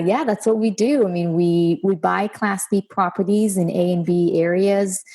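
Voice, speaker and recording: A woman in her thirties from Israel, a guest on an interview, talking relaxed and fluent with filler words. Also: lowish voice. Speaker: guest, real estate investor. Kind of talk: conversational interview.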